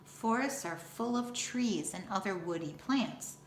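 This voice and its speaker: A woman reads aloud for children like a schoolteacher. Her delivery is unhurried, deliberate and expressive. The room adds noticeable echo, with a faint layer of noise behind her. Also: mid-range voice. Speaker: school teacher reading aloud. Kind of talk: children's picture book read-aloud.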